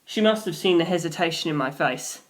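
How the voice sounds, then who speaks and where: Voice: medium pitch, animated, fairly quick. A narrator reading a scripted story aloud in a dramatic storytelling style, acting out the characters. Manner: acts out characters' dialogue in different voices. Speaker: audiobook narrator, reader.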